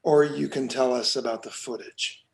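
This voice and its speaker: A man acting a calm police detective in a screenplay table read, with measured, procedural questioning. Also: medium pitch, gravelly, a little hiss or hum, natural voice, poor audio. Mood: businesslike. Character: steady, businesslike male police detective.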